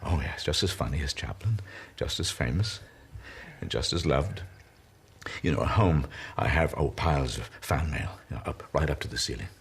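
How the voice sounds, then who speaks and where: An actor playing an elderly comedian in a television drama, speaking tenderly and haltingly as he grieves beside his dying partner. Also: rumbling low, lively intonation, gravelly, slightly rough, heavily acted. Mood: confessional, tender. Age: older. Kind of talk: intimate television drama monologue.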